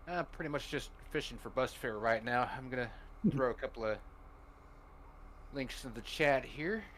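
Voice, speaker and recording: A man's voice: medium pitch, normal speed, fairly bright tone, slight background noise. A man speaking casually as a guest on a livestream. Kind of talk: casual chat.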